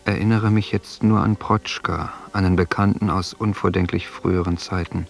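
An actor playing a reflective man reading a diary in a radio drama, terse and introspective with a gravelly voice. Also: low-pitched, fairly even intonation, moderate pace. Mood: brooding, subdued.

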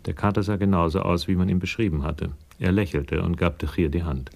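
An actor narrating a crime radio play as an observant storyteller, measured and matter-of-fact. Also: male voice, low voice, steady tone, creaky, darkish tone. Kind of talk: scripted narration in radio drama.

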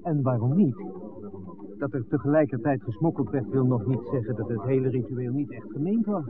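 A man's voice: mid-range voice, lively intonation, fairly quick, natural voice. An actor playing a reasonable, respectful man in a 1960s radio drama, speaking in a polite, reassuring way. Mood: curious, reassuring. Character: reasonable, respectful man talking with village priest. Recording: tinny, noisy old radio recording.

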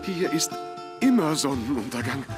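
An actor playing a smitten macho lover in a kitschy radio drama romance, languishing and full of flattery. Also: male voice, medium pitch, moderate pace, natural voice. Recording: radio drama over loud music.

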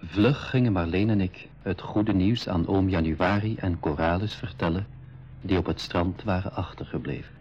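An actor narrating his own adventure in a school radio drama, with a measured storytelling delivery. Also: sits low, a little mellow, muffled recording, natural voice. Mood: composed. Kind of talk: scripted first-person radio drama narration.

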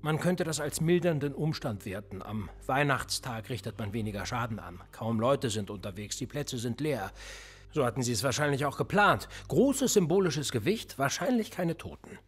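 A man's voice: mid-range voice, normal speed, slightly rough. An actor playing a cool, cynical male police interrogator in a radio drama, smug and needling. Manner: smug, needling, lively intonation.

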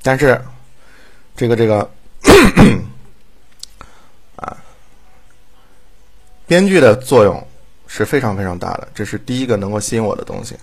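A man chatting casually as a drama director, with a blunt, dryly teasing and halting delivery. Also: lowish voice, lively intonation. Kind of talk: casual chat.

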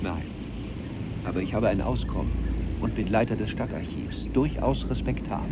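An actor playing a fretful, self-righteous middle-aged archivist in a German radio drama, arguing in a pedantic, declamatory way. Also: male voice, fairly low pitch, moderate pace, close to an everyday voice. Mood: pedantic, defensive. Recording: noisy cassette copy of radio drama.

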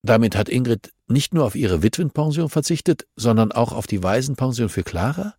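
A man's voice: medium pitch, expressive, a little fast, darkish tone, no echo, studio quality, pitched above the performer's own voice. A man voicing a determined female investigator in an audiobook, with a probing, terse and insistent manner. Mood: startled.

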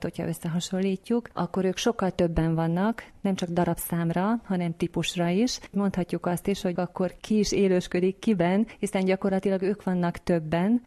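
A woman's voice: fairly low pitch, dark tone. A woman speaking as an expert guest in an interview, explaining in detail and with emphasis.

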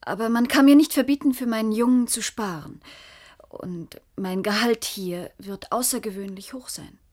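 An actress in a German radio play voicing a guarded, earnest young nurse with a husky voice, polite and hesitant. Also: female voice, a little high, normal speed, darkish tone, natural voice.

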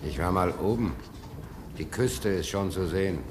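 A man's voice: deep voice, moderate pace, noisy background, natural voice. A man playing an uneasy, bitter working-class ship stoker in a German radio play, talking in a colloquial, brooding, indignant way. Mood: anxious questioning.

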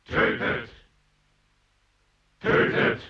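An actor playing a fierce military commander in an avant-garde radio drama, hammering out terse orders and slogans. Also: male voice, extremely slow. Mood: frenzied commanding. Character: fierce male war commander, inciting soldiers to kill. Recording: distant, echoing, dull sound.

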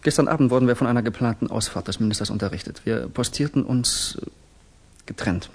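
An actor playing a fervent young student revolutionary who confides in a deliberate, rhetorical way, in 1960s German radio drama style. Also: male voice, medium pitch, slightly rough. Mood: earnest, confessional.

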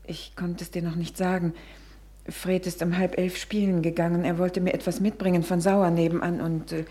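An actress in a German radio play playing an anxious mother searching for her missing small son, tense and hurried, with strained politeness. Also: lowish voice, little pitch movement, warm tone, close to an everyday voice. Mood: subdued, uneasy.

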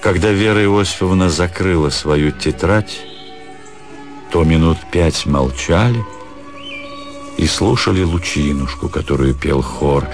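A man narrating a literary story for radio in a measured, expressive storytelling manner. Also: low voice. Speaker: narrator, actor reading literary prose. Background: music under the voice.

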